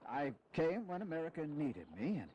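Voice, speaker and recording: A man's voice: a little high, sing-song, moderate pace, darkish tone, slight background noise, low-quality recording. An actor playing a weary, honourable general in his fifties, grave and dignified, in a historical audio drama. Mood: weary, wistful. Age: 50s.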